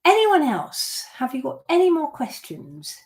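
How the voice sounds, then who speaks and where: A woman's voice: high voice, huge pitch swings, unhurried. A woman from the Netherlands, a violin teacher, chatting through viewer questions on a live stream, with many fillers and restarts.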